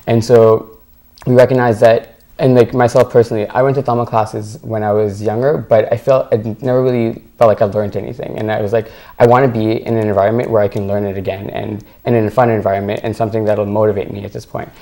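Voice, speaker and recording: A young man, a student, speaks as a panel guest in a mellow, dark voice. His delivery is even and earnest, sprinkled with "like.". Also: lowish voice, quick pace, creaky. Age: young adult. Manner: earnest, steady, filler "like". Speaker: student association member. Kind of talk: panel discussion.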